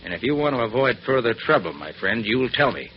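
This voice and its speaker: An actor playing a confident, commanding master detective with an assured manner in a 1940s radio drama. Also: male voice, mid-range voice, very animated, brisk, natural voice. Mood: hard, threatening. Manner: assured, crisp, authoritative. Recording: dull, noisy 1940s radio recording.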